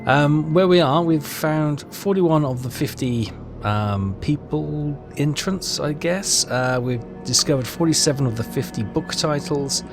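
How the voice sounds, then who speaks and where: Guy doing a casual game walkthrough, hesitant, thinking out loud, music playing behind him. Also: on the high side, a little slow, hardly any pauses. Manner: hesitant, rambling, thinking aloud. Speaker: gaming video host.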